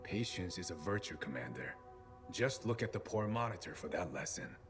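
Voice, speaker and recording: A smug, low-voiced, swooping theatrical scientist. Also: male voice, very animated, moderate pace, over music. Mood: smug, taunting. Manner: mocking, patronizing, theatrical. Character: smug, theatrical captive male scientist, eccentric and slippery. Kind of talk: video game cutscene acting.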